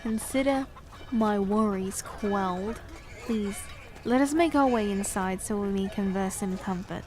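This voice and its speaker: Composed, ageless queen, as a woman plays her; measured formal speech with music underneath. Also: mid-range voice, a little mellow, lightly acted. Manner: measured, formal and gracious, coolly reserved. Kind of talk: audio drama acting.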